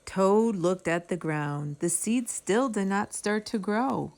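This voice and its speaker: Female children's storyteller; very slow, deliberate pacing, lively intonation, mid-pitched read-aloud narration. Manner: deliberate, expressive.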